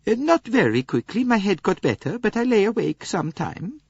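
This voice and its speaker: A man putting on a kindly, pious Swedish lady with a Swedish accent, her voice raised well above a man's and a little breathy. She speaks softly, earnestly and with careful formality. The recording is dry and dull. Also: high voice, big pitch swings, normal speed, dry recording, pitched above the performer's own voice, heavily acted. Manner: soft, earnest, precise formal phrasing. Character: kindly pious middle-aged Swedish woman, gentle.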